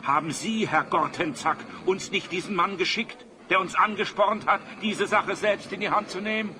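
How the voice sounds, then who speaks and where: A man's voice: high-pitched, moderate pace, noisy background, natural voice. A man playing a suspicious, indignant townsman from an angry mob in an audio drama. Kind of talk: German audio drama acting.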